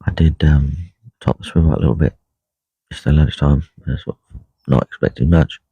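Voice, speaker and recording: A man with a croaky voice talking quietly and unhurriedly on a live stream from a lake bank, full of ums and uhs. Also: very deep voice, steady tone, a little fast, no room sound. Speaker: carp angler livestreaming. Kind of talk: casual live stream chat. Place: lakeside fishing swim outdoors. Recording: dull lo-fi live stream audio.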